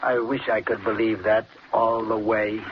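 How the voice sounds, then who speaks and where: A man's voice: fairly low pitch, flat delivery, unhurried, natural voice. An actor playing a tense, guarded husband secretly plotting against his wife, in 1940s radio style with feigned casualness. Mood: feigned worry. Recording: muffled, noisy old radio recording.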